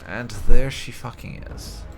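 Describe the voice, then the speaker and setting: A man's voice: medium pitch, steady tone, a little slow, low-quality recording. A man giving let's play commentary as a gaming host, with dry, sarcastic asides and casual swearing. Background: game music and sounds.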